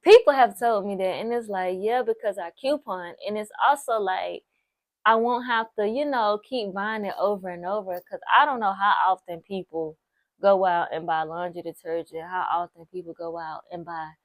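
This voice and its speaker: A woman's voice: medium pitch, normal speed, no room sound. Young woman vlogger, nineteen, chatty and encouraging, dry close recording. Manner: chatty, repeats herself for emphasis, lots of "like" and "so, yes"; encouraging, speaks straight to the viewer. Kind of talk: casual advice talk to camera.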